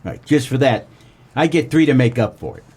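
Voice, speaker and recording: A man playing a wry, deadpan, world-weary private eye in a comedic noir radio play. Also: mid-range voice, fairly quick, breathy edge. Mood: dry, dismissive. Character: wisecracking world-weary private detective, grown son of a crook.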